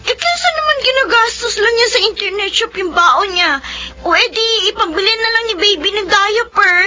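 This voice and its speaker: A woman's voice: extremely high pitch, lively intonation, moderate pace, bright, forceful tone, heavily acted. A woman playing an emotional, defensive teenage single mother in a Filipino radio drama, speaking with a Filipino accent. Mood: tearful, defensive. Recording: muffled radio drama with music behind.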